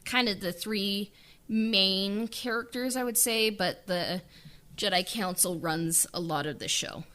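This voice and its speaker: A woman's voice: medium pitch, measured pace, pushed and bright. A woman co-hosting a podcast, talking in a rambling casual way with many fillers about writing an audio drama. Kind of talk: casual behind-the-scenes chat.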